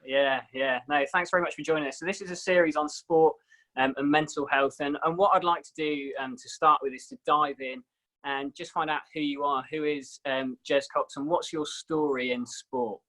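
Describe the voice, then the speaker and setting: A man's voice: fairly high pitch, fairly even intonation, moderate pace, sharp tone, rough recording. A man who is a head coach, hosting an interview and giving short, encouraging reactions. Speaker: head coach and podcast host. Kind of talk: casual interview.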